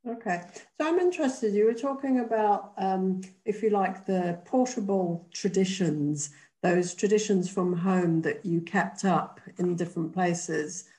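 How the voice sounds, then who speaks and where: A woman's voice: medium pitch, a little slow, dark tone, poor audio. A woman hosting a podcast interview, asking questions and encouraging her guest. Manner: encouraging, frequent back-channel mm-hmms. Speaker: podcast host.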